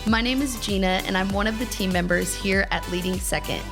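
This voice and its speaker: A woman reads a scripted podcast opening in an upbeat, promotional tone. Her voice sits in a middle pitch. Faint music plays underneath. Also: fairly quick. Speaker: podcast team member, announcer.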